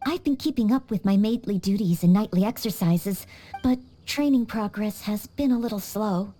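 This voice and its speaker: An actress voicing an earnest, polite young maid training to be a knight in a game cutscene, with small hesitant stammers. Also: female voice, medium pitch, lively intonation, normal speed, mellow, natural voice. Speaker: game character.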